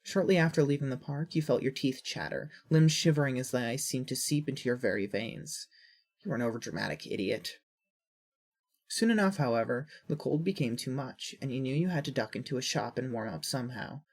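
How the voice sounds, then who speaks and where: A woman with a husky voice reading scripted fiction as a narrator. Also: a bass voice, animated, fairly quick, a little mellow.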